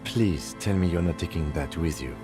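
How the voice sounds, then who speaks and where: A composed police lieutenant from a video game, played by a man, low in pitch and dryly disapproving. He speaks in a measured, restrained way, with music clearly playing behind him. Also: close to an everyday voice. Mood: dry disbelief.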